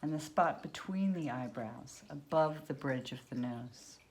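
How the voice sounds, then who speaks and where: Very slow, low-voiced woman guiding meditation. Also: a little mellow, poor audio. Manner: unhurried, spoken in short phrases with long pauses. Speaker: guided meditation teacher. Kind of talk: guided yoga nidra meditation, soothing instruction.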